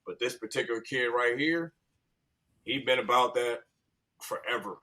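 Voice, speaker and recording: A man's voice: mid-range voice, moderate pace, bright, forceful tone, rough recording. An American man giving casual sports opinions as a panelist, emphatic and repeating phrases to drive his point.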